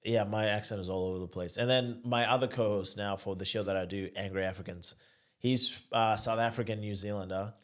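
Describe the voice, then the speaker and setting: A man's voice: on the low side, fairly even intonation, a little fast. Chatty young man from Zimbabwe. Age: young adult. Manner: loose and chatty, swears freely. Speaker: podcast guest. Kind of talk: casual chat.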